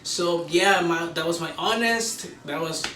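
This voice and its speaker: Higher-voiced man rambling in an echoey room. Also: measured pace, sharp tone, faint music behind. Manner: rambling, repeats himself, informal. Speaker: video creator reviewing a film. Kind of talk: casual excited fan review to camera. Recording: roomy echo, distant mic, faint noise.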